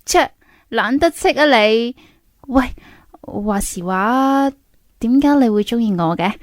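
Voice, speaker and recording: A woman's voice: fairly high pitch, lively intonation, takes it slowly, no echo, clean studio sound. A woman acting in a Cantonese radio drama as a conflicted 24-year-old teacher, with a Hong Kong accent. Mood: teasing. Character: 24-year-old female teacher, engaged, conflicted, secretly involved with student.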